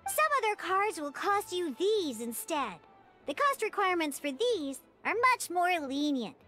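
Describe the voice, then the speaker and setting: Peppy childlike tutorial mascot for a video game: piercingly high, animated melody, eager and chirpy. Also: female voice, measured pace, faint music behind, full character voice. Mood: explaining, encouraging. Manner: eager, chirpy, expressive exclamations. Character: peppy young cartoon game mascot guiding a card tutorial.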